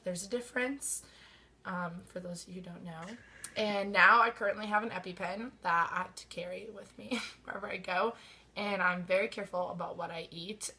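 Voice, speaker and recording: Young woman, 20s, slightly hoarse, bright tone, chatty storyteller with ums. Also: fairly low pitch. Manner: chatty, many ums, nervous laughs. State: a little hoarse. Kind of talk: casual personal storytelling.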